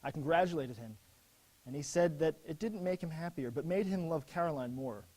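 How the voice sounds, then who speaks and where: Man acting earnest grieving officer, higher-pitched voice. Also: brisk, mellow, natural voice, rough recording. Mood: solemn grief. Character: young American army officer, earnest, grieving, writing letters home. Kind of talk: dramatic reading of wartime letters.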